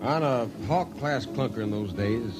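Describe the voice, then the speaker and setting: A man's voice: mid-range voice, normal speed, natural voice, low-quality recording. An actor playing an earnest spaceship jetman who tells his tale in an old-time radio drama. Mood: reflective narrating. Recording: old radio recording with music.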